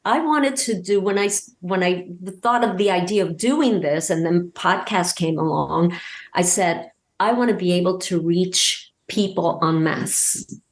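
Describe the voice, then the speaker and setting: A woman talking casually as an interview guest and telling anecdotes, emphatic and halting, often repeating words as she goes. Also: on the low side. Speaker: podcast guest, audio drama creator and voice actor.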